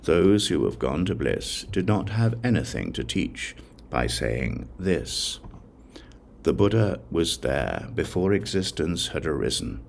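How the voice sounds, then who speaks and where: A man with an extremely low, gravelly voice narrates a sacred text solemnly. He reads slowly and deliberately in a measured recitation, like a scripted audiobook, with lively rises and falls in pitch. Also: slight background noise. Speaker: audiobook reader.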